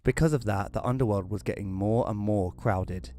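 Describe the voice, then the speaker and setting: A man's voice: on the low side, moderate pace, mellow, lightly acted. A man playing a casual, wry first-person narrator in a scripted audio drama. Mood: wry, matter-of-fact. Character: Rory, casual storyteller befriending a ghost.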